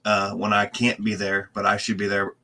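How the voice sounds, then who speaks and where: A man's voice: lowish voice, monotone, normal speed, pushed and bright. A man hosting a casual paranormal podcast, talking loosely with many ums and restarts. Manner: rambling, loose, filler-heavy. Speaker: team manager and podcast host.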